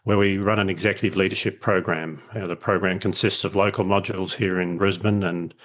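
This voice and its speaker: Low-voiced Australian man, reflective guest. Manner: reflective, explains at length with small restarts. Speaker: guest, leadership educator. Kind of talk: casual interview over a call.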